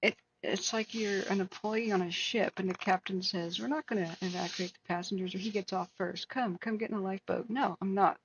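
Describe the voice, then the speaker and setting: A woman hosting a wildfire tracking livestream, hoarse from hours of talking, speaking earnestly and answering viewers by name. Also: mid-range voice, steady tone, a little fast, hardly any pauses, highs cut off, background noise. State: hoarse, losing her voice. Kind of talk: casual livestream chat.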